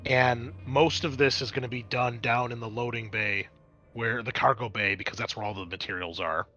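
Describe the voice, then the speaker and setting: A man's voice: mid-range voice, steady tone, normal speed, bright, forceful tone, highs cut off, faint music behind. A man acting as game master, explaining the scenario and rules of a tabletop roleplaying game in a conversational, improvised way.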